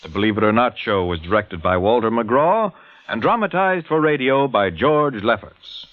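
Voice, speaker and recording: Animated 1940s radio announcer man. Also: medium pitch, normal speed.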